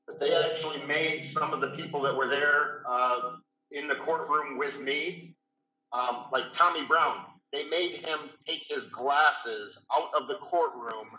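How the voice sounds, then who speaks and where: A man's voice: mid-range voice, steady tone, measured pace, pushed and bright, dull audio. Man on a roomy, distant call. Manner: hesitant, many fillers, plainspoken. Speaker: guest on a video call. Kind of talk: casual recounting.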